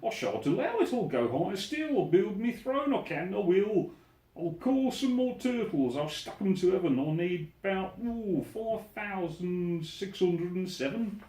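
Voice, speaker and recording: This is a vain, bossy turtle king from a storybook, as a reader puts him on. His voice sits high and carries loud and pompous. Every line is a boast, and the room around him rings with echo. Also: big pitch swings, a little slow. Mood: haughty shouting. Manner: shouting, pompous. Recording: roomy echo.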